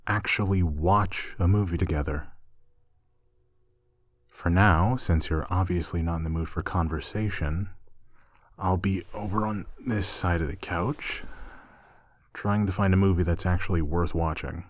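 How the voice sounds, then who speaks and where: A man acting an affectionate, teasing roommate in an intimate ASMR roleplay, soft-spoken and playful. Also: sits low, unhurried, pauses often, no echo. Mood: gentle, reassuring. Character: affectionate, teasing male roommate gently pampering a shy catgirl.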